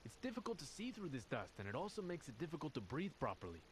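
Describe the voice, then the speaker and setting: A man acting an earnest, troubled squad officer who confides deliberately in a video game audio log. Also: a little high, huge pitch swings, a little hiss or hum. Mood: confiding.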